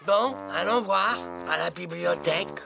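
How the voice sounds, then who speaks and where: A woman voicing a silly, fretful young male piglet in a children's cartoon, exclamatory and a bit whiny, with a creaky voice. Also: on the low side, a little slow. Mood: curious. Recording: loud music behind the voice.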